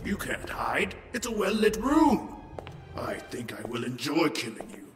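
A man's voice: fairly high pitch, big pitch swings, unhurried, gravelly, full character voice. A man playing a menacing murderous villain. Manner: menacing, whispering then shouting. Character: vengeful murderous male escaped prisoner. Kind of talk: amateur audio drama. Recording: echoey reverberant room with music.

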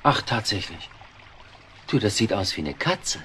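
A kindly, flustered middle-aged writer who bumbles along and mutters to himself. His pitch swings up and down a lot. Faint record hiss lies behind him. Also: a little slow, heavily acted. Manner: bumbling, talks to himself, lively. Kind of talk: 1970s radio play acting.

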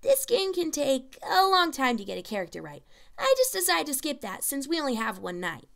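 A woman playing a brash, excitable, sporty teenage girl in a cartoon-style audio story. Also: high-pitched, fairly quick, gravelly, full character voice. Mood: cocky, casual. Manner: eager, cocky, casual.

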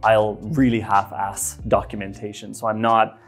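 A man in his thirties with an Irish accent mixed with American, giving a casual, self-deprecating lesson on facilitation in a bright office. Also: lowish voice, faint music behind. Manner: lively, chatty, self-deprecating, with quick restarts. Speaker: facilitation trainer. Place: bright office room by a whiteboard.

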